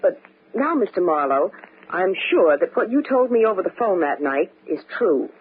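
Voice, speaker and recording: A woman's voice: lowish voice, very animated, moderate pace. An actress playing a frightened, desperate married woman with a pleading, dramatic delivery in a 1940s radio mystery. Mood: anxious, urgent. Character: frightened, desperate young married woman convinced of murder plot. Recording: hissy, narrow-band old radio broadcast.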